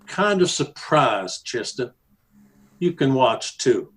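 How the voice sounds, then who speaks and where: A man's voice: medium pitch, sing-song, a bit bright. An American man playing a menacing, mocking cowboy bully in an old-time radio Western. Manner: mocking, slow-drawling taunts. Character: menacing cowboy bully, sneering ex-Confederate guerrilla.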